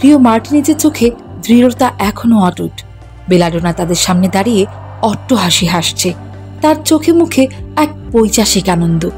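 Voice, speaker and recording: A woman's voice: mid-range voice, lively intonation, normal speed, a little mellow. A woman reading scripted fairy-tale narration as the storyteller. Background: faint music.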